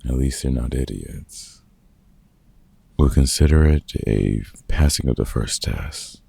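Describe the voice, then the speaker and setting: Casting: gruff older vampire hunter played by a man, fatherly and wry, rock-bottom pitch, heavily husky, for comfort roleplay audio. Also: takes it slowly, warm tone, no echo, heavily acted. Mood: wry, supportive. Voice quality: very gravelly, husky. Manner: dry, teasing, hesitant with unfamiliar slang. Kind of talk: ASMR roleplay audio drama, one-sided conversation.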